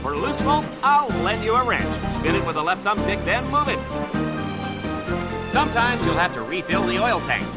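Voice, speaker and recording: Chatty mechanic tutorial guide, high voice, loud music behind, breezy, wisecracking, animated game. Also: male voice, moderate pace. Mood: breezy, instructive.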